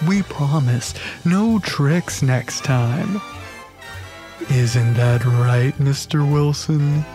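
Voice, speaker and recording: A man's voice: mid-range voice, over music. A man playing a deranged, gleeful surgeon who hosts a macabre show in theatrical, darkly comedic audio theatre. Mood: gleeful hosting. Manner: theatrical, slow, sinister showmanship.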